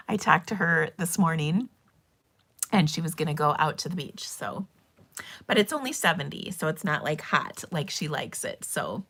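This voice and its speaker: A woman hosting a casual live craft stream, chatty and bubbly, her voice a little hoarse because she is losing it. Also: mid-range voice, a bit bright. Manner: chatty and bubbly, with frequent ums and laughs. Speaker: host of a live stamping and cardmaking stream.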